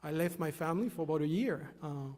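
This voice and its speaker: A man from Haiti, giving an earnest, halting stage talk with many fillers. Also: on the high side, a little mellow. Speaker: volunteer speaker. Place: hall with an audience. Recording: long reverb of a large hall.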